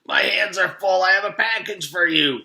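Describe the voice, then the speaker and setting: A man reads as a weary storybook fellow weighed down by a heavy load. His voice is pushed high and bright, straining and complaining with emphatic exasperation. The room around him echoes. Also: normal speed, vocal fry, exaggerated voice. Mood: straining, gasping.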